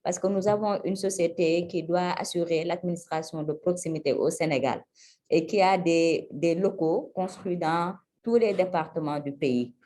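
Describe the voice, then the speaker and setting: A woman from Senegal giving a webinar presentation over a video call, measured and hesitant, with frequent hesitations. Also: low voice, dark tone. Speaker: energy agency presenter.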